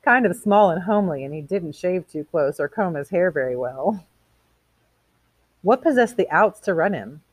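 A woman's voice: fairly low pitch, lively intonation, moderate pace, no echo. A woman voicing a shallow, prim society lady from a novel, chattering in an affected, gossipy way. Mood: disdainful gossip.

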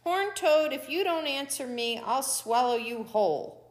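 Woman acting a storybook coyote, arrogant, excitable, blustering, high-pitched, very animated. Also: measured pace, long reverb tail, exaggerated voice. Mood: demanding, menacing. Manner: demanding, blustering, exclamatory. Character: arrogant, bullying coyote who turns pleading and frantic.

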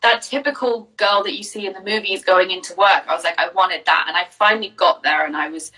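A woman's voice: medium pitch, fairly quick, sharp tone, distant mic, low-quality recording. A young woman from Jersey, a business coach, chatting openly in an interview and telling her story with plenty of fillers. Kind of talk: casual interview answers.

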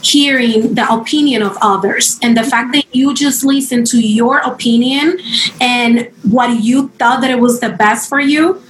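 A woman hosting an interview, asking questions in a slow, halting way with non-native grammar. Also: fairly high pitch. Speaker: interviewer, host. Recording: roomy echo, faint music behind.

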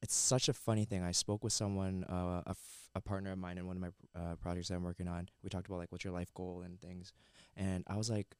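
A man from Canada, a chef and entrepreneur, chatting casually as a podcast guest in a studio, relaxed and full of fillers. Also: low-pitched, fast, few pauses, clean tone, no room sound. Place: recording studio. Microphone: headset mic and headphones.

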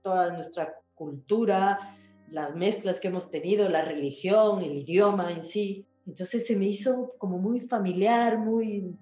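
A woman's voice: mid-range voice, a little slow, dark tone, echoey room, faint music behind. A woman talks casually as an interview guest, in a chatty, rambling way, often checking back with the listener. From Ecuador.

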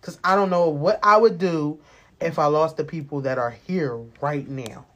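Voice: medium pitch, normal speed. An American podcast host in their late twenties talking casually and personally, rambling, candid and emphatic, with plenty of swearing. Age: late 20s.

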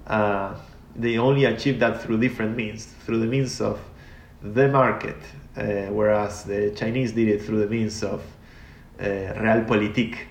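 A man's voice: on the low side, steady tone, unhurried, a little hiss or hum. A man from Argentina, speaking as an interview guest in a reflective, rambling way with many restarts. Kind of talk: casual interview talk. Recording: echoey room.

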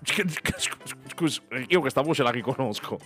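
A man's voice: mid-range voice, expressive, moderate pace, fairly bright tone, faint music behind. A man hosting a comedic radio podcast, stammering and repeating his words for emphasis. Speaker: podcast host.

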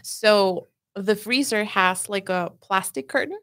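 A woman from Mexico, a pastry chef speaking English, tells stories in a chatty, self-deprecating way. Her voice sits at a middle pitch for a woman and sounds close and dry. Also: a little slow, fairly bright tone, no echo. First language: Spanish. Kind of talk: casual interview chat.

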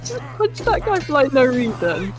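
A woman's voice: high-pitched, huge pitch swings, normal speed, music in the background, a crowd in the background. Wry woman riffing on a movie. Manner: wry, mocking. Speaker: podcast co-host. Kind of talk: casual movie-reaction chat.

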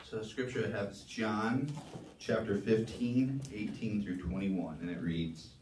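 A man leading a church service, reading scripture with measured emphasis and preaching. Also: on the low side, darkish tone, a little hiss or hum. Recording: roomy sound from a distance.

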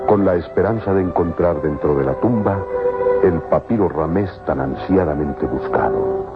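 A man narrating an old radio serial in a solemn, grandiose, measured dramatic style. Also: deep voice, big pitch swings. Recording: muffled old phone-like radio, loud music. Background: dramatic music.

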